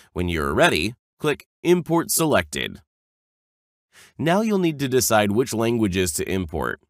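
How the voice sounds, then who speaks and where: A man reading a scripted software tutorial, explaining clearly step by step as an instructional narrator. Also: on the low side, dry recording, studio quality. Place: close, dry voice-over recording.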